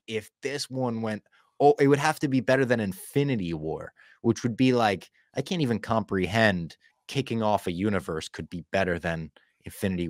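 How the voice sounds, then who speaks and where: A man's voice: fairly low pitch, no echo, clean studio sound. A man in his forties, co-hosting a podcast in casual, swearing chat over a video call from a room at home. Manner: relaxed, swearing, storytelling. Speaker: podcast host. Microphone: headphones, video call.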